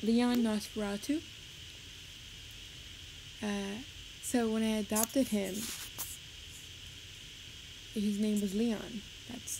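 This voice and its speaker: Fast-talking Puerto Rican woman, noisy stream. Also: medium pitch. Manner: fast, rambling, nervous, giggly. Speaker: live streamer. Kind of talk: rambling casual chat on a livestream. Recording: noisy home stream audio.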